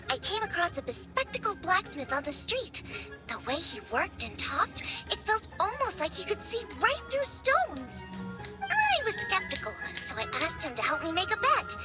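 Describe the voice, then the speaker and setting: A woman's voice: very high-pitched, poor audio. A woman playing a bubbly, earnest teenage girl in a video game, chatty, perky and friendly. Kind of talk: animated video game voice acting. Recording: loud game music behind the voice. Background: game music.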